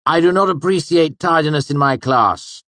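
A man's voice: a little high, normal speed, a bit bright, no room sound, pitched above the performer's own voice, close to an everyday voice. Sneering schoolmaster of magic, cold and cutting, voiced by a man; raised, strained timbre. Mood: mocking. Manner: mocking, over-courteous. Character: haughty male magic teacher, cutting.

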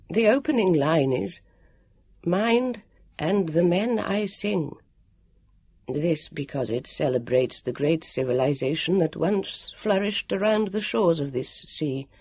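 A woman voicing a nervous, gentle male warrior in a storybook, with a high, quavering voice and wordy, hesitant politeness. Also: breathy edge, heavily acted.

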